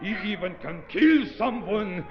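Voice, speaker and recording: An actor playing a vain, gullible mad doctor with a foreign accent and halting broken English in a 1930s radio drama. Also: male voice, sits high, animated. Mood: gloating, menacing. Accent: foreign, non-native English. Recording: noisy, muffled 1930s radio recording.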